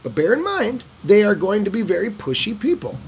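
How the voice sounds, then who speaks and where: A man casually answering viewer questions in a vlog, telling stories in an animated way and acting out other people's lines. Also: brisk, slightly rough. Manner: animated storyteller who acts out locals' lines in a higher voice. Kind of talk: casual talking-head Q&A.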